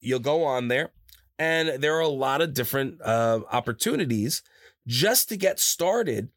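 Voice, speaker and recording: A man chatting casually in an interview as a voice actor, telling stories in a rambling, animated way and often repeating himself. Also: a little slow, dry recording, clean studio sound. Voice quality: higher-pitched natural voice. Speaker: voice actor guest. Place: his own studio. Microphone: studio microphone, close.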